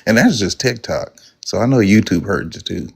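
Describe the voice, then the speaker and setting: A man's voice: on the low side, lively intonation, moderate pace, gravelly, darkish tone, husky. A man helping host a live call-in stream, insistent and needling, repeating himself to press the caller.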